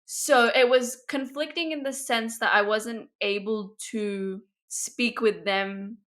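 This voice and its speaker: A young woman talks in a casual podcast chat. Her voice is bright and forward, and she speaks in a chatty, searching way, full of "like.". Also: on the high side, fairly even intonation, slow. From Australia. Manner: chatty, lots of "like". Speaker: podcast co-host, daughter. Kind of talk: casual conversation.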